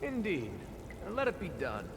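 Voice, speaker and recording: An actor playing a grandiose cult leader in a video game, convinced he is a reborn ancestor, with a pompous, theatrical, deliberate delivery. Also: sits high, wildly swooping pitch, background noise. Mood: pleased, grand. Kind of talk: video game cutscene acting. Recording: long hall reverb, music behind.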